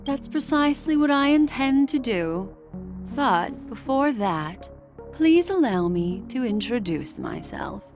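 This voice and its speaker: A woman's voice: a little high, very animated, slow pace, exaggerated voice. A woman voicing a poised, mysterious woman who reads memories, speaking in a polished, courteous, lightly teasing way. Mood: composed. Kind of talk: video game voice acting. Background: game music.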